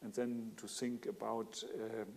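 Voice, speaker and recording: A German-accented man on a discussion panel, his speech halting and full of restarts and filler sounds. His voice is gravelly and mellow. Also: lowish voice, flat delivery. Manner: hesitant, many fillers and restarts.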